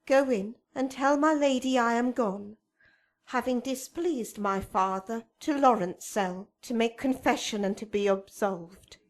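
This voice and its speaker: An actress playing a desperate, passionate young noble daughter in love, in an impassioned Shakespearean radio drama style. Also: female voice, a little high, measured pace, close to an everyday voice. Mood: bitter, resolved. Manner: theatrical, impassioned, declaiming.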